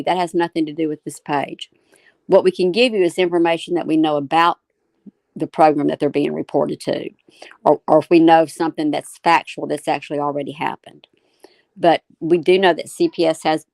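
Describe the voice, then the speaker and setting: A woman host giving measured, explanatory commentary and answering viewer comments in short, repeated phrases. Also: sits low, a little mellow, no echo. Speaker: talk show host.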